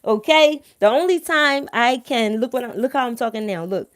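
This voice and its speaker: A woman's voice: fairly high pitch, animated, moderate pace, dry recording. Female live-stream host, strong Philadelphia accent. Emphatic, opinionated, informal and sweary, recorded dry. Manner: blunt, emphatic, slangy, freely swearing; repeatedly checks listeners follow with tag questions. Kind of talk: informal opinionated talk to viewers.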